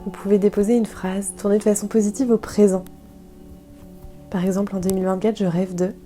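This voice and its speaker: A woman guiding a yoga nidra meditation in a soft, calm, soothing way, leaving gentle pauses. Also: medium pitch, faint music behind. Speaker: guided meditation instructor.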